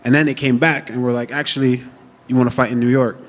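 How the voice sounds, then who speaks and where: A man's voice: medium pitch, flat delivery, fast talker, long reverb tail. A man in his thirties who grew up in Jamaica, a fighter answering media questions in a casual, confident, trash-talking way. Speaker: MMA fighter. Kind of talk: media day interview answers.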